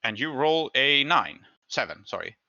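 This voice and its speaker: A man's voice: medium pitch, sing-song, unhurried, creaky, sharp tone, no echo, lo-fi sound. A man running a tabletop game as game master, narrating and making rulings in a thoughtful, deliberative way.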